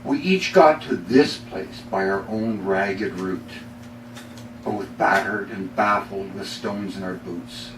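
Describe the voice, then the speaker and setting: A middle-aged Canadian man reading his own poems live, with measured, deliberate phrasing and dramatic pauses. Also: sits low. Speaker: poet reading own work. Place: bookstore reading before a small audience. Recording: roomy, distant mic, faint noise.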